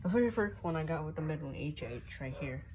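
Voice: medium pitch, expressive, fairly quick. A hobbyist, heard as a woman, giving a casual, rambling, unscripted show-and-tell of a collection into a handheld camera. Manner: rambling, unscripted, often unsure. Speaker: hobbyist collector showing weather radios. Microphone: handheld phone or camera. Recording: loud background noise, handheld camera audio.

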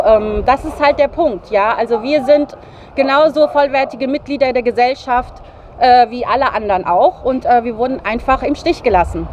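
A woman's voice: fairly high pitch, a little mellow. A middle-aged woman from Germany giving a spontaneous statement into a handheld mic, with formal, hesitant phrasing. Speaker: interviewee, sex worker advocate. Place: city street outdoors. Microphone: handheld interview mic. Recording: dull outdoor sound, faint street noise.

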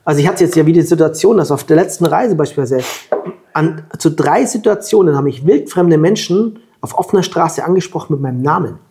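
A man from Germany in his forties, telling lively travel anecdotes as the guest at a table interview, on a lapel mic. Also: on the high side, brisk. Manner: lively anecdotal storytelling, colloquial. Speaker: guest, long-distance scooter traveller. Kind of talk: storytelling in a sit-down interview. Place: room with plants at a table.

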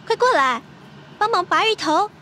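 A woman playing a chatty, bossy young country girl in an audio drama, brisk and blunt. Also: extremely high pitch, animated, sharp tone, exaggerated voice. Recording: faint background noise.

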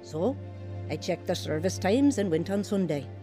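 A woman's voice: on the low side, expressive, normal speed, warm tone, soft background music, close to an everyday voice. An actress playing a troubled, heartfelt young wife who tells her life story in a scripted radio drama, with a sincere manner. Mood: reflective. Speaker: actor narrating a radio drama. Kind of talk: scripted first-person dramatized testimony.

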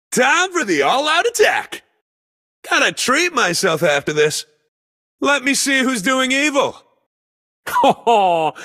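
A man voicing a brave, jolly, dutiful panda assassin in a video game, with husky, theatrical, emphatic short declarations. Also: very high voice, sharp tone, full character voice. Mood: dutiful, resolute. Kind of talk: video game character voice lines.

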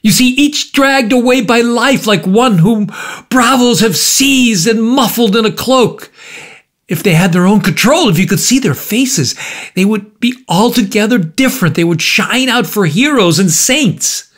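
Storybook murderer, performed by a male narrator: raised pitch, anguished, exclamatory, desperately self-justifying. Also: expressive, unhurried, a bit bright. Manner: impassioned, exclamatory, rhetorical. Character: anguished male murderer, defiant, tormented, pleading.

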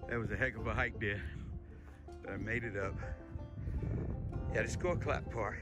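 Older man, low voice, laid-back casual vlog rambling, loud music behind him. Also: steady tone, normal speed. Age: older, around 60s. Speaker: van life vlogger.

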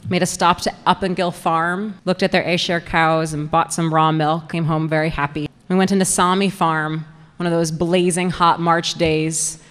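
A woman's voice: lowish voice, little pitch movement, normal speed, clear, resonant tone. A young woman giving a prepared, organized public presentation as a program coordinator, speaking into a microphone on a stand. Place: indoor presentation room with audience. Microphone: handheld-style mic on stand. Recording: reverberant hall through PA microphone.

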